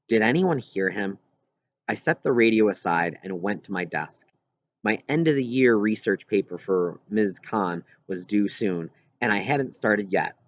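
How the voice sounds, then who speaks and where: A man reading a children's novel aloud as scripted narration, with expressive storytelling delivery. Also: on the low side, dry recording, highs cut off. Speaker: audiobook reader.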